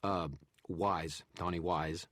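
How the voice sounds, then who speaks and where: A man's voice: sits low, flat delivery, slow pace, fairly bright tone, dry recording, clean studio sound, pitched above the performer's own voice, full character voice. A man voicing a frightened, stammering male photographer in an audiobook, pleading and nervous. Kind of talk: audiobook character reading.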